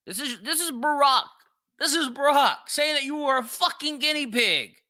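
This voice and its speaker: Emphatic man with a bright, forceful voice. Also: very high-pitched, lively intonation, moderate pace, dry recording, clean studio sound. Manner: emphatic, profane, repeats phrases for emphasis. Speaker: podcast host. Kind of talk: opinionated solo commentary.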